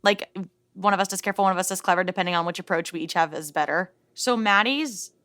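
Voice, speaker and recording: A woman's voice: on the low side, quick pace, fairly bright tone, no echo. A woman playing in a tabletop role-playing podcast, chatting casually. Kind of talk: casual game chat.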